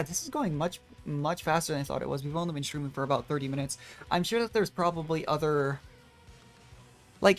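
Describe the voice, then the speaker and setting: Low-pitched-leaning none. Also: male voice. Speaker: game streamer. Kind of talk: casual live gaming commentary. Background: game music.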